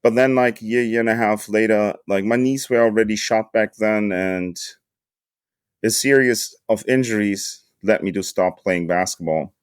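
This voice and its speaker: A man's voice: lowish voice, steady tone, moderate pace, clean tone, no room sound, studio quality. A man in his fifties from the Hamburg region, a native German speaker, casually telling his story in slangy, joking English as a podcast guest. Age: 50s. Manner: laid-back American slang, joking.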